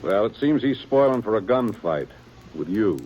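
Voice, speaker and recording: An actor playing a weary, dutiful aging small-town sheriff with a plain folksy drawl in a 1950s radio drama. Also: male voice, lowish voice, animated, normal speed, a little mellow, dull audio, natural voice. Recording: old radio broadcast with faint hiss.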